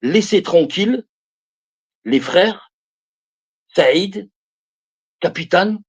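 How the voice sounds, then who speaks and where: A man in his fifties speaks with a husky voice, and his pitch rises and falls widely. He preaches to his viewers on a live stream, stressing his points and repeating phrases as he calls them brothers and sisters. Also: lively intonation, drawn-out words. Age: 50s. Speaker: live streamer giving religious advice.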